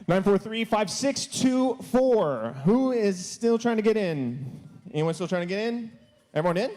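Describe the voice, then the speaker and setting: Young male host, high lively voice, playful casual patter. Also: unhurried. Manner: playful, stumbling over foreign words. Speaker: youth service host. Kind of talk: casual live-stream chat. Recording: long church hall reverb.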